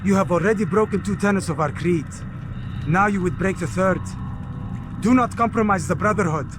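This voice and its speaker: An actor playing a principled, anxious assassin who speaks in earnest reproach in a video game. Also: male voice, high-pitched, moderate pace, noisy background, lightly acted. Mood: reproachful. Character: principled, anxious male assassin, younger subordinate rebuking his superior. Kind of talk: video game cutscene dialogue.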